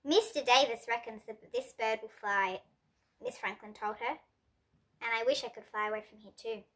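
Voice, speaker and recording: A young reader, presented as a child, reading a children's picture book aloud with expressive delivery and lightly voiced characters. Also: female voice, a little high, moderate pace. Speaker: storybook reader.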